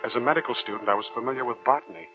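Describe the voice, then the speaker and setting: A man's voice: medium pitch, racing, music in the background, close to an everyday voice. An actor in a 1940s radio drama playing an earnest, courteous young male student with dramatic delivery. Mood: awed narration. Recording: tinny, narrow-band 1940s radio recording.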